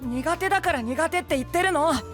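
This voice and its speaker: A man's voice: very high-pitched, fast talker, a bit bright, faint music behind. An actor playing a cheeky, picky, boyish young man in an anime-style voice drama, frank and teasing. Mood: pouty.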